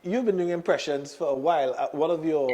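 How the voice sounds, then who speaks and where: A man's voice: on the high side, fairly quick, mellow. A man hosting a talk show, chatting in an easygoing way with plenty of fillers. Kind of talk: casual interview chat.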